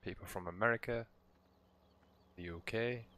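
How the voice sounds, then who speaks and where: A man with a croaky, hoarse voice hosts his own gameplay channel. He talks in a low register with a bright, forceful edge and chats along in a relaxed, meandering way. It sounds like casual commentary over a video game. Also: moderate pace, slight background noise. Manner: relaxed, rambling, chatty. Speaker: let's play host.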